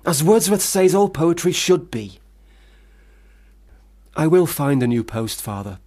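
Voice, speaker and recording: An actor playing an earnest, bookish young son in a radio play, formal and deferential. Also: male voice, a little high, very animated, measured pace, darkish tone. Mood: eager, respectful.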